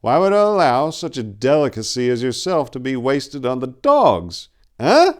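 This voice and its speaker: A sinister male vampire, as acted out by a male narrator in a dark storybook tale. He is smug and boastful, mocking his prey with drawn-out menace. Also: mid-range voice, big pitch swings, voice pushed up, lightly acted. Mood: taunting.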